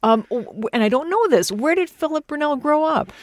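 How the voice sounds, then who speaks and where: A woman's voice: sits high, expressive, a little fast, no echo. Effusive American woman hosting radio. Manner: effusive, exclamatory. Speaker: radio host. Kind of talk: conversational radio interview.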